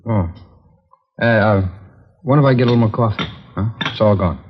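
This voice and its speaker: Muffled hissy 50s radio, guy acting as american drifter killer, lowish voice, rambling and matter-of-fact about it. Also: normal speed, lightly acted. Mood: offhand. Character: casual, remorseless drifter confessing to serial killings. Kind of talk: 1950s radio drama acting. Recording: dull, noisy old 1950s radio recording.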